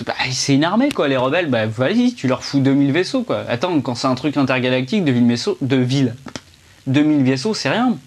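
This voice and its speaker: A young man rambles through a casual film review, his voice sitting somewhat high for a man. He talks in a chatty, loose way, full of fillers and restarts, as he speaks to a camera indoors under a ring light. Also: a little hiss or hum.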